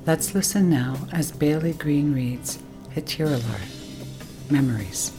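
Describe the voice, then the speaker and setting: A woman hosting a radio story show, reading a measured, formal introduction. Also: sits low, over music.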